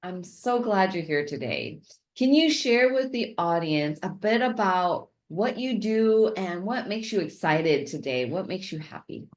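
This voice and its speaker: A woman's voice: lowish voice, big pitch swings, a little slow. A woman hosting an interview podcast, introducing and questioning her guest. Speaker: podcast host.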